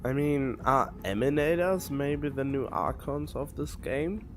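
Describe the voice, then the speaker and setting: Slow, musing male game streamer. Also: mid-range voice. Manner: musing, halting, thinking out loud. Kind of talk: casual live commentary on a game. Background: game music.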